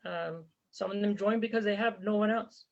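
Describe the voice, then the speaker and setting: A woman's voice: medium pitch, moderate pace, creaky. A woman raised in Canada and born in Zambia, speaking earnestly and conversationally as an interview guest, with frequent fillers. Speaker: interview guest, former volunteer fighter. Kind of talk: casual spoken interview. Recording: dull lo-fi recording.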